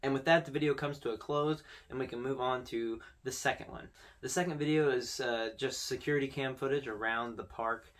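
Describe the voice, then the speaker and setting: A man's voice: mid-range voice, a little fast, fairly bright tone, a little hiss or hum. A young man hosting a video with casual, informal commentary, talking conversationally to camera. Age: young adult. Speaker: video host. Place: small indoor room with posters.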